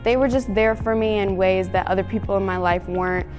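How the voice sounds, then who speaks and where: Teenage girl, student, earnest and eager, mid pitch, clear music behind. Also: fairly quick. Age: teens.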